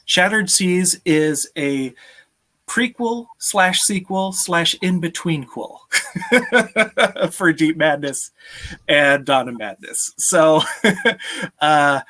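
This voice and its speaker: A man in his thirties talking casually and haltingly as co-host of a live stream over a video call. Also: on the high side, animated, pushed and bright. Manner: halting, chuckles often. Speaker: author and game creator co-hosting a live stream. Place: indoor room at home, video call.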